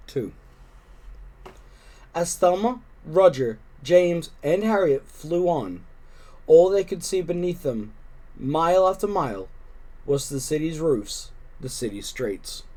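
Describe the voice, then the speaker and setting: A man with a fairly high, soft-toned mellow voice reads a children's story aloud. He phrases it deliberately and with expression, as a storybook reader. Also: expressive.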